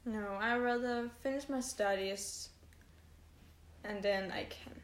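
A woman's voice: medium pitch, slow pace. A young woman co-hosting a podcast in casual chat, hesitant and self-deprecating. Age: young adult. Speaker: podcast co-host. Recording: echoey room.

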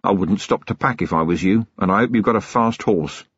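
A man doing a gruff, sardonic police commander. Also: medium pitch, sing-song, moderate pace, no echo, muffled recording. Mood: menacing. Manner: stern, menacing, dryly sarcastic. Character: tough gruff middle-aged male police commander.

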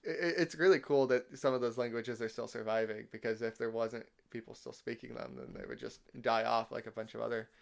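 A young man hosting a casual podcast interview, talking in a halting way with lots of fillers and restarts. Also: medium pitch, steady tone, gravelly. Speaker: podcast host.

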